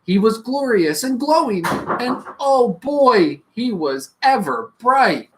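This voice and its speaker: Bedtime story host: expressive, playful reader for kids, highly varied intonation, casual asides. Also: takes it slowly, slight background noise. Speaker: host reading bedtime stories. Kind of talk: animated children's storybook reading with casual asides.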